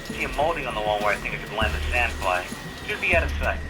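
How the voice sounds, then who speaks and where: Mid-pitched man playing an alert drone pilot. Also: lively intonation, quick pace, music in the background, natural voice, rough recording. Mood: alert, focused. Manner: technical, step-by-step reporting. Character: obliging, alert male drone pilot reporting to captain. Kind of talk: audio drama acting.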